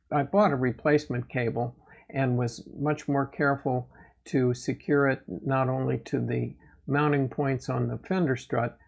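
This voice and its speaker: A man hosting a gear review channel, giving a product update in a plain, matter-of-fact, measured way. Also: mid-range voice, creaky, muffled recording.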